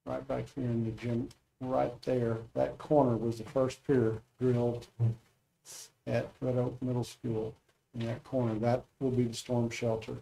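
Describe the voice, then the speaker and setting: A man's voice: mid-range voice, little pitch movement, measured pace, gravelly, dark tone, low-quality recording. A man presenting a construction update as a school district official. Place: school board meeting room.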